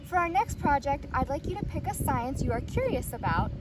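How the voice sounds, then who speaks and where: An encouraging lady science teacher from a storybook, as a woman reads her, instructive, high and animated. It is picked up from a distance amid loud noise. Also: a little fast. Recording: distant mic, loud outdoor ambient noise.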